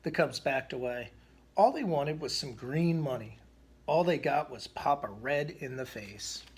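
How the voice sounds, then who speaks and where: Guy reading a kids picture book out loud, bit raspy, expressive, trips a little. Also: mid-range voice, measured pace. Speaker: storybook reader. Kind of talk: children's picture book read aloud.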